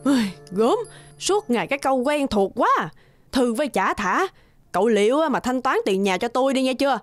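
A woman's voice: high-pitched, very animated, normal speed, no echo, faint music behind. Blunt, grumbling cartoon landlady. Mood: grumbling, impatient. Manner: scolding, blunt. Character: grumbling, impatient landlady collecting overdue rent. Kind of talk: cartoon voice acting.

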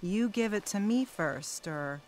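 An actress playing a feisty, protective 1940s American wife in an audio drama, blunt, salty and dry. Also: female voice, medium pitch, animated, a little slow, darkish tone, lightly acted. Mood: indignant, protective. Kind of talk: naturalistic period radio drama.

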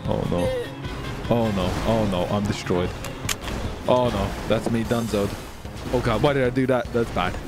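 A man bantering and commentating casually in a game, playful, teasing and excitable, as host of a gaming video. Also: lowish voice, normal speed, pauses often. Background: game music and vehicle sounds.

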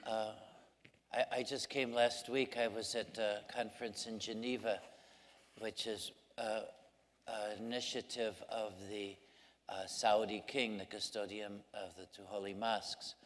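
Male panelist, lowish voice, measured and hesitant, speaking in a reverberant hall. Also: level tone. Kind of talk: unscripted concluding remarks.

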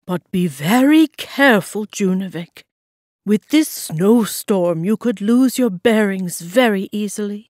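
A woman voicing a large, gentle female moose in a children's story, speaking in a deliberate, caring manner. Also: mid-range voice, expressive, no room sound, studio quality, close to an everyday voice. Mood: gentle concern.

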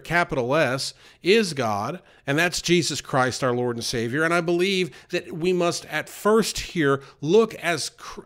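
An earnest man delivers a loose, unscripted sermon. His voice sits a little high for a man, and he often repeats words and restarts his sentences. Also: a bit bright. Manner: earnest, repeats himself with many fillers and restarts. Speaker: preacher. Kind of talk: informal sermon.

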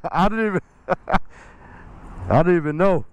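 A man's voice: high-pitched, unhurried. A man vlogging casually on a group motorcycle ride, joking and laughing easily, recorded outdoors on a helmet mic. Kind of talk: casual chat. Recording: loud traffic and engine noise.

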